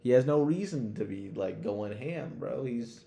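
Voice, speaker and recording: A man's voice: medium pitch, animated, a little slow, vocal fry, dark tone. A man co-hosting a podcast, chatting casually about anime with lots of slang and heavy swearing. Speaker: podcast co-host. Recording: roomy, echoey sound.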